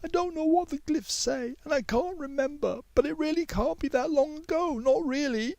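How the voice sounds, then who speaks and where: An earnest, flustered young woman played by a man reading a story. The voice sits very high and lilts along eagerly and hesitantly. The recording is dry and close. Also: normal speed, creaky, darkish tone, dry recording. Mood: uncertain.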